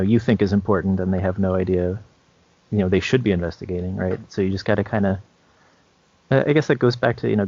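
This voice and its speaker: Gravelly low-voiced man, casual and hesitant. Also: darkish tone, dry recording. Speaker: podcast co-host, game master. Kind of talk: casual chat giving gaming advice. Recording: dull, lo-fi, dry podcast audio.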